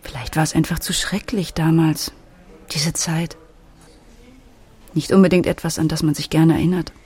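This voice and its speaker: A woman's voice: low voice, fairly even intonation, moderate pace, darkish tone, slightly rough. An actress playing a thoughtful adult daughter and mother who recounts family memories in a radio drama. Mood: hesitant, pensive. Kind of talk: radio drama narration and dialogue.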